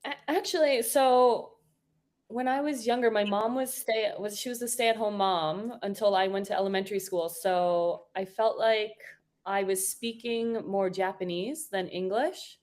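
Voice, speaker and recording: A woman's voice: medium pitch, a little slow, darkish tone. A woman in her forties chatting as a guest, talkative and often laughing, with frequent fillers and restarts. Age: 40s. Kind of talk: casual chat.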